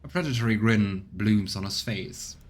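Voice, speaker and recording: Low-voiced male game streamer. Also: normal speed, slight background noise. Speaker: let's play streamer. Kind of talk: game commentary mixed with reading narration aloud. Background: game music.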